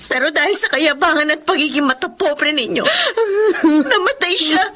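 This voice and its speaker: An actress playing a grieving, devout widow in a Filipino radio drama, tearful and deliberate. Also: female voice, high-pitched, animated, bright, forceful tone. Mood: bitter grief.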